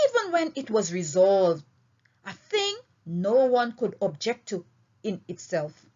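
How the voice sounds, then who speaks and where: A woman reading a novel aloud as an expressive storyteller, with deliberate, emphatic phrasing, on a dull, lo-fi recording. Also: medium pitch. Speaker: audiobook reader.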